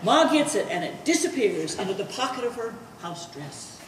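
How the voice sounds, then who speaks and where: A woman's voice: mid-range voice, big pitch swings, normal speed. A woman reading scripted stage directions as the narrator of a live play. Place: art gallery stage reading. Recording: distant mic in echoey hall, faint noise.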